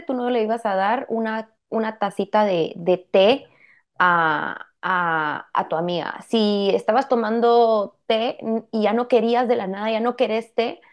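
A woman with a Guatemalan Spanish accent, hosting a casual group discussion and speaking frankly and bluntly. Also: fairly high pitch.

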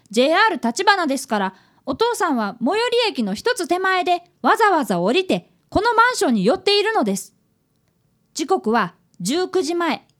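Woman playing an earnest little boy detective, very high-pitched. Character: earnest, polite fifth-grade boy, apprentice detective. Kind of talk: radio drama acting and narration.

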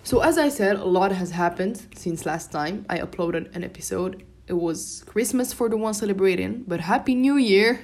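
A woman's voice: medium pitch, expressive, moderate pace, darkish tone. A young woman from Morocco, a native Darija speaker, hosting a casual solo podcast in an informal, rambling way and often interrupting herself. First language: Moroccan Arabic (Darija). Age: young adult. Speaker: podcast host.

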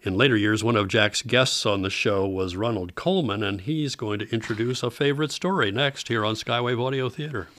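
Male presenter, lowish voice, informative scripted intros to vintage radio shows. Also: a little fast, hardly any pauses, fairly bright tone. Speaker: host of an old-time radio program.

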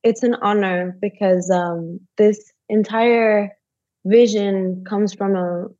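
A woman speaking thoughtfully as a podcast guest, pausing to find her words, heard over a dull, dry remote line. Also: mid-range voice, fairly even intonation, warm tone, no echo. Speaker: nonprofit director. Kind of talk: podcast interview.

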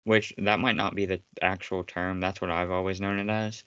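A man with a low voice rambles through a casual podcast chat and keeps teasing his co-host. He laughs often. The sound is dry and a little muffled. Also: little pitch movement, moderate pace, fairly bright tone, smooth, no room sound. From United States.

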